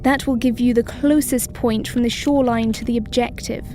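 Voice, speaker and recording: A woman's voice: fairly high pitch, steady tone, normal speed, a little mellow, faint music behind, close to an everyday voice. An actress playing a precise, matter-of-fact female mission controller in orbit, in an audio drama.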